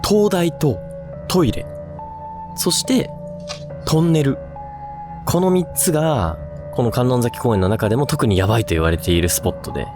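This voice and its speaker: A man's voice: medium pitch, lively intonation, normal speed, long pauses, over music. A man hosting a ghost-spot exploration, explaining the location outdoors and chatting casually with a companion. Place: outdoors on location in a seaside park at night.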